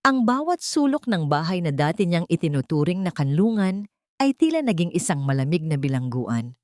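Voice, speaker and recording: A woman's voice: lowish voice, very animated, moderate pace, a little mellow, dry recording, clean studio sound. A woman with a Filipino accent reading a scripted story as a dramatic narrator.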